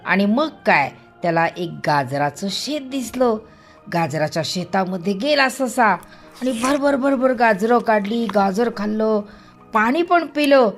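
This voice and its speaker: Slow-paced woman telling children's stories. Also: on the high side, lively intonation. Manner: drawn-out, repeated words for emphasis. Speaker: children's storyteller. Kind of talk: expressive storytelling for young children. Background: background music.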